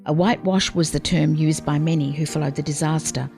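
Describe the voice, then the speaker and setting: A woman giving formal, measured scripted narration in a documentary style. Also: low voice, fairly even intonation, creaky, a little mellow. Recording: music behind the narration.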